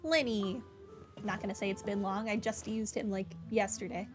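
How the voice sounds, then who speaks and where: A woman's voice: mid-range voice, steady tone, normal speed, faint music behind. A woman giving casual commentary on a game playthrough, with wry, flippant asides. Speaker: gaming streamer.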